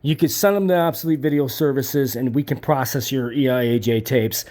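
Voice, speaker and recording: A man's voice: medium pitch, fairly quick, darkish tone. A man giving an informal promotional talk about his own business, speaking bluntly and emphatically with salty, repetitive phrasing. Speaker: tape transfer business owner presenting his service.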